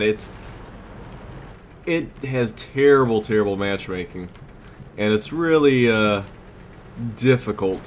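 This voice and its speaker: A man giving casual gameplay commentary as a let's play host, muttering and exclaiming as he plays. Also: on the low side. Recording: clear background noise, game ambience.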